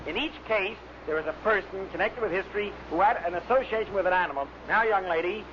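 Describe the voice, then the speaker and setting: Guy hosting old radio quiz show, high pitched, muffled with lots of hiss and noise. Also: quick pace.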